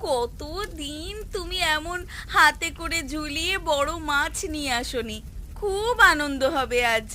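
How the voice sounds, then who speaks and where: A woman's voice: high voice, slow, bright, forceful tone, slight background noise, heavily acted. A woman playing a gloating, spiteful village wife in a cartoon story. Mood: delighted, curious. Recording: music playing behind the voice.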